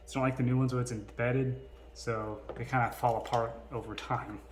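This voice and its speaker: A man hosts an unscripted electronics demonstration in an informal, chatty way. His voice sits at an ordinary middle pitch. The recording carries faint noise and small clicks. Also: flat delivery, normal speed, echoey room. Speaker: video host reviewing vintage electronics. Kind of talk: casual unscripted demonstration to viewers.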